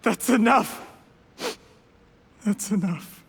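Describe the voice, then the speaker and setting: A man's voice: high-pitched, drawn-out words, vocal fry, heavily acted. An actor playing an ambitious, scheming man who is cornered, speaking formally and with strain in a video game drama. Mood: agitated. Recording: long reverb, faint noise.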